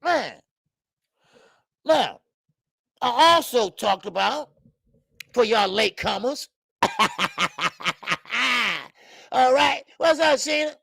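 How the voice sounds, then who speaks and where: Gravelly, profane man in his fifties. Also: very high voice, lively intonation, takes it slowly, long pauses, dry recording, studio quality. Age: 50s. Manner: loud, profane, rambling, greets viewers by name; frequent laughs and stretches of filler. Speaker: boxing show host. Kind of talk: live-stream commentary chat.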